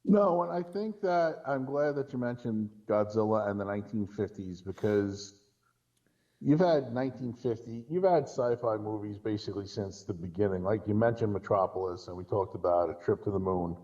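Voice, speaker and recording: Man, low pitch, very mellow dark tone, opinionated film chat, dull lo-fi recording. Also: normal speed. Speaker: podcast guest. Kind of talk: casual film discussion.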